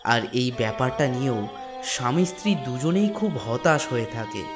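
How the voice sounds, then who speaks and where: A man narrating a scripted folk ghost story in measured, short phrases. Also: medium pitch, big pitch swings, music in the background.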